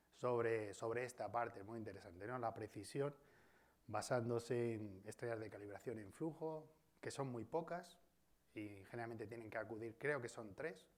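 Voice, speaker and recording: Man, Spain Spanish accent, academic lecture, auditorium, discursive, tag questions. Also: mid-range voice. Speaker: guest speaker. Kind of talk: academic colloquium talk. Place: university auditorium.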